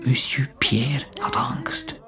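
An actor playing a frightened, confused male psychiatric patient, halting and whispery, in a German radio play. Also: medium pitch, fairly even intonation, a bit bright. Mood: frightened, dreamy. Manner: halting, whispery, speaks of himself in third person.

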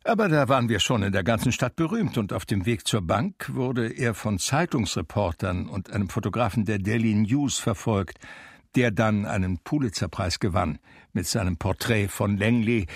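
An actor playing a reflective brother narrating a radio drama in a dry, measured, literary way. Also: male voice, lowish voice, no room sound, close to an everyday voice. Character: wry, reflective brother recalling his eccentric household.

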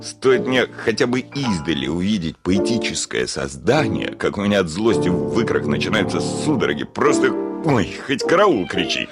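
An actor playing an irascible, blustering landowner in a classic comedy radio play, exclaiming and grumbling with theatrical exasperation. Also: male voice, fairly low pitch, very animated, normal speed, hardly any pauses, over loud music. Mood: indignant. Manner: theatrical grumbling, exclaiming, swinging from muttering to bellowing.

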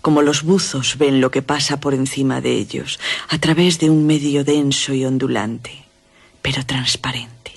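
An enigmatic young woman with a Castilian accent, her voice very husky and close to a whisper. She speaks languidly and broodingly, with a cruel, loving undertone, as in a gothic radio play. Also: extremely low pitch, steady tone, a little slow, full character voice. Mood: haunted, distant. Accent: Castilian Spanish. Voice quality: breathy, whispery. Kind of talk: radio drama acting.

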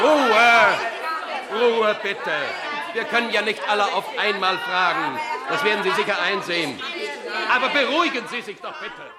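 Harried official, high-pitched, over crowd clamour. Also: male voice. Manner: formal, insistent, repeatedly calling for quiet. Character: harried male official marshalling an unruly crowd of mothers. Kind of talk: 1970s German radio play acting. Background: noisy crowd in a hall.

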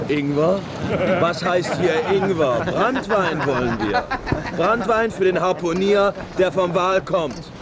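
An actor playing a jovial, brash whaling sailor with a loud, teasing manner in a radio drama. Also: male voice, sits high, animated, slow pace, a loud crowd. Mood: boisterous. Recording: very noisy boat and wind at sea.

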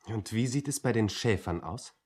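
An earnest young clerk in a radio play, fresh from his studies. The voice is low and somewhat raspy, the courteous, formal and a little pedantic. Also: expressive, moderate pace, darkish tone. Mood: polite, earnest. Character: polite, earnest young male clerk, recent student. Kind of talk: German satirical radio drama acting.

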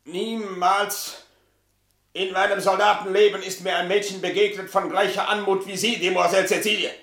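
An actor playing a sickly, scheming retired officer in a radio play, pompous and wheedling in stilted, flowery speech. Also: male voice, high-pitched, flat delivery, normal speed, fairly bright tone, breathy edge, full character voice. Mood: courtly, stilted. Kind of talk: German radio play acting.

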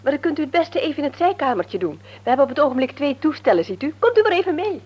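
Radio drama role: young homemaker, fast and animated, obliging, slightly noisy old recording. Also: female voice, high voice. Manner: brisk, attentive, polite. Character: loyal, obliging young woman of the household.

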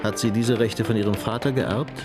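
An actor playing a dry, polite, sceptical police detective in a German radio play. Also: male voice, fairly low pitch, fairly even intonation, moderate pace, over loud music, close to an everyday voice. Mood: politely insistent.

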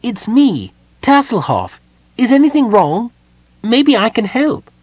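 A man's voice: very high-pitched, sing-song, takes it slowly, darkish tone, dry recording, pitched above the performer's own voice, full character voice. A man voicing an impish, childlike male kender who chatters eagerly and bluntly in a storybook reading. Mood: urgent pleading.

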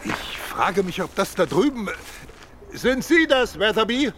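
An actor playing a brisk, authoritative senior police chief in a German radio play. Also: male voice, high voice, sing-song, noisy background. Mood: searching.

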